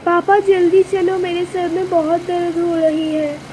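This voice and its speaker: A woman voicing a sick, plaintive young daughter in an animated moral story. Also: very high-pitched, measured pace, a little hiss or hum, low-quality recording.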